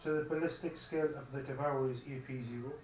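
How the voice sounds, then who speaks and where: A man chatting casually at a game table, talking through rules haltingly and thinking aloud. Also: medium pitch, fairly even intonation. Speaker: tabletop wargame player. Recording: muffled, echoey room with faint noise.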